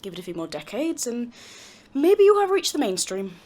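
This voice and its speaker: A brisk university chief in an audio drama. Her voice is rather high and very animated, rising and dropping widely as she speaks. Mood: dry, dismissive. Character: brisk, dismissive woman university vice-chancellor. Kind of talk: scripted audio drama dialogue.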